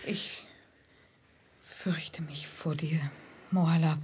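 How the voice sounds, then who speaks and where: A very breathy, mysterious veiled seductress. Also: female voice, sits low, steady tone, heavily acted. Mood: fearful, evasive. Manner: slow, hushed, languid, intimate. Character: mysterious, seductive, secretive veiled woman of Arabian tale. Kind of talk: 1950s German radio drama acting.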